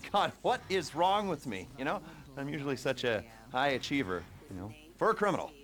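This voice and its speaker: An actor playing a chatty, self-mocking criminal heist handler in a video game, rambling and darkly joking. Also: male voice, mid-range voice, big pitch swings, moderate pace, fairly bright tone. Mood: sheepish, amused. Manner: rambling, hesitant, darkly joking, chuckles to himself. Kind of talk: video game cutscene acting.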